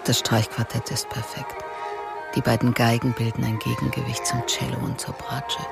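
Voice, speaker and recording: A man narrating a crime radio drama in a measured, descriptive, scripted style. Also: medium pitch, flat delivery, creaky. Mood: measured, reflective. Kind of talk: scripted present-tense narration. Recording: loud music under the narration. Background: loud music.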